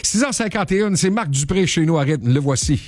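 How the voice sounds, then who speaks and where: A man's voice: a little high, lively intonation, brisk, slight background noise. A man hosting a morning radio show, chatting casually and joking, his voice jumping up on questions.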